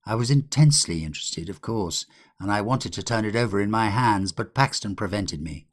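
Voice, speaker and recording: A man reading a literary ghost story as a narrator, in a measured, old-fashioned storytelling manner. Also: on the low side, animated. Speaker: audiobook narrator.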